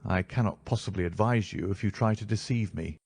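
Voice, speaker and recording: A man's voice: on the low side, fairly quick, creaky, darkish tone, slightly rough, dry recording. A man voicing a cool male detective who asks short, pointed questions. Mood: stern. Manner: terse, questioning.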